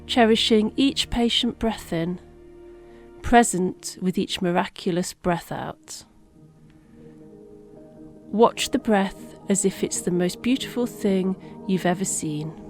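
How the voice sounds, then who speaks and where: A woman guiding a meditation in a gentle, unhurried manner, leaving long pauses between phrases. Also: mid-range voice. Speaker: meditation guide. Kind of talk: guided meditation narration. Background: relaxing music.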